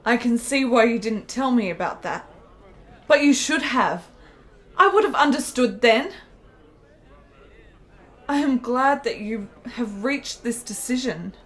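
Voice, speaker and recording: A woman acts a lonely, ailing lady in a period radio drama. Her voice is very high. She speaks in formal, earnest phrases, with an intense and devoted feeling under the frailty. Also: expressive, measured pace, pauses often, fairly bright tone. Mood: earnest, grateful. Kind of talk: period radio play acting.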